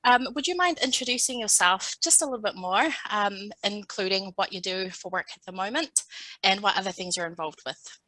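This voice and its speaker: Samoan-speaking lady hosting a video call chat, bright and dry sound, hypes the guest. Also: mid-range voice, brisk, no echo, poor audio. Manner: warm and encouraging, cheers the guest on. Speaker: interviewer. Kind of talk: interview questions.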